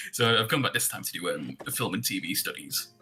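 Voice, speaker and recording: A man's voice: sits low, animated, normal speed, sharp tone, faint music behind. A man in his twenties, a guest chatting casually on a livestream, laid-back and dry, swearing now and then. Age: 20s.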